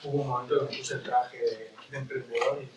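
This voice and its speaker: A man's voice: medium pitch, fairly even intonation, quick pace. Man, scientist, panel talk, hesitant and candid, roomy echo, heavy background noise. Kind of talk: panel discussion. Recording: distant mic in echoey room, loud noise.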